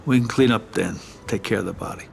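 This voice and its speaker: An actor playing a level-headed middle-aged mob lawyer and advisor who speaks calmly and in a measured way in a game cutscene. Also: male voice, fairly low pitch, creaky, slight background noise.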